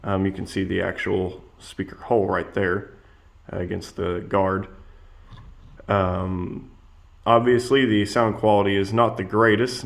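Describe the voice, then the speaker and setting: Male product reviewer, lower-pitched with a gravelly fry, plainspoken and casual, explaining features step by step.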